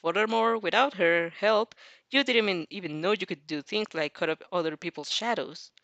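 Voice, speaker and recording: A woman narrating fan fiction aloud with casual reactions, expressive, informal and giggly. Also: medium pitch, moderate pace, gravelly, no room sound, dull audio.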